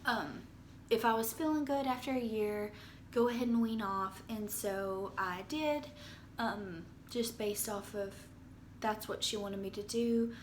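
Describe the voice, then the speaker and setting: A young woman with a hoarse voice from being sick, talking casually into her phone in a rambling personal update with many ums and restarts. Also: mid-range voice, a little slow, a little hiss or hum. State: hoarse from illness. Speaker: vlogger. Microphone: phone propped up.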